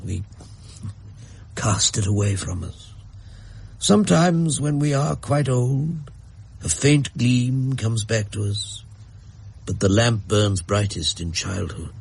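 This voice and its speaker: A slow, gravelly old grandfather. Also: medium pitch, animated, heavily acted. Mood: musing. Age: older. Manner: slow, gentle, musing. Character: gentle, mystical old grandfather. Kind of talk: radio drama acting.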